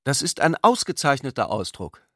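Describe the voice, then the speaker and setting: An actor playing a polite, ironic intellectual cousin who philosophises courteously in a literary radio play. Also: male voice, mid-range voice, huge pitch swings, moderate pace, pushed and bright, no room sound, clean studio sound, full character voice.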